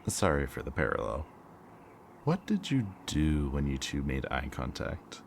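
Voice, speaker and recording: A man playing a smug, taunting kidnapper villain in an audio roleplay, with a mocking, theatrically drawn-out delivery. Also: a bass voice, very animated, creaky, a little hiss or hum, full character voice. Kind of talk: scripted audio roleplay, ASMR.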